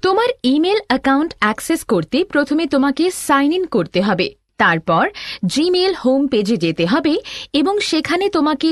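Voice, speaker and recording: A woman with a high voice and animated intonation narrates a scripted tutorial. She explains step by step as an instructor, in a dry recording free of room sound. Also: dry recording.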